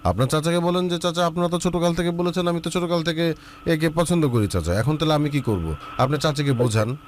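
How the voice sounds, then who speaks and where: A man's voice: fairly high pitch, fast, a little hiss or hum. A man hosting a love advice show, questioning a caller bluntly and impatiently. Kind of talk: counseling a caller.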